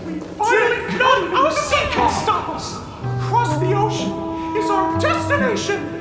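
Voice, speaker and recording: Adventurous little cartoon sidekick, game voice, sky-high pitch, exuberant, echo, loud music. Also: female voice, lively intonation, measured pace. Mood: gleeful. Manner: exuberant, exclamatory. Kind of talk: cartoonish video game voice acting. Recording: echoey, loud music behind.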